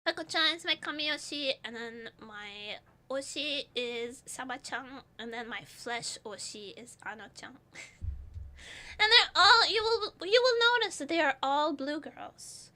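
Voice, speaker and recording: Giggly streamer girl, high bright voice bouncing all over, rambling at chat. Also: animated, measured pace. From Portugal. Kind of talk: casual chat reading live chat.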